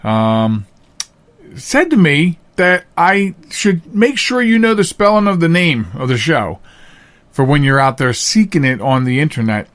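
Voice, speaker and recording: Male talk host, slightly high register, casual and chatty, folksy showman who digresses. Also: big pitch swings, a little slow, fairly bright tone. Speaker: podcast host. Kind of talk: casual, chatty monologue to listeners.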